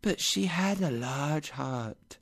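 Casting: narrator as a calm, whispery spirit guide for a storybook, gentle and thoughtful. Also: mid-range voice, slow, husky, pitched above the performer's own voice, heavily acted. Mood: calm, solemn.

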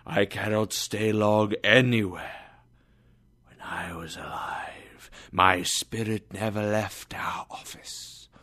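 A mournful ghost of a dead old businessman, dragging his chains and speaking in a hushed, breathy whisper, as a storyteller puts him on. The voice sits low and drawn out, grave and full of regret. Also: steady tone, heavily acted. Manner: slow, grave.

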